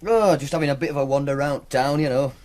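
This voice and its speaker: A man's voice: fairly high pitch, fast, a little mellow, lightly acted. An actor playing an easygoing young male student with a Northern English accent in a naturalistic radio drama. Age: young adult. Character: easygoing young university student, modest betting winner.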